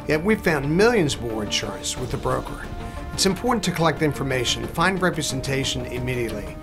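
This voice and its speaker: A man in his sixties delivers a scripted law-firm advertisement. His voice is somewhat high, and music plays clearly underneath. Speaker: lawyer in an advertisement. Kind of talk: scripted ad read.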